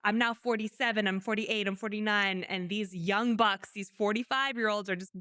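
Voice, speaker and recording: Bright, enthusiastic woman podcast host. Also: medium pitch, steady tone, normal speed, smooth, no room sound, clean studio sound. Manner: enthusiastic, swears freely. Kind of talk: casual interview chat.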